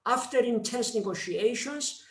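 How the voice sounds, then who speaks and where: A man with a Greek accent, a member of parliament, giving a formal seminar speech in a slow, measured way with pauses. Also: very high voice, echoey room.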